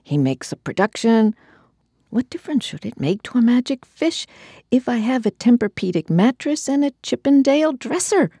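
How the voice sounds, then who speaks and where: A woman with a husky, breathy voice gives a dramatic spoken-word poetry recital, phrasing deliberately and theatrically and often dropping to a hush. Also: fairly high pitch, big pitch swings, dry recording, studio quality. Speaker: poetry reader.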